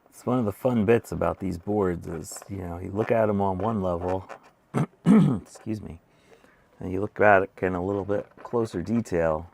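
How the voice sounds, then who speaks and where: A man hosting an electronics repair tutorial, chatting casually and thinking aloud as he works, with a hoarse voice he keeps clearing. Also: low-pitched, moderate pace, darkish tone. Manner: chatty, self-deprecating, thinking aloud while soldering. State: losing his voice, clearing throat.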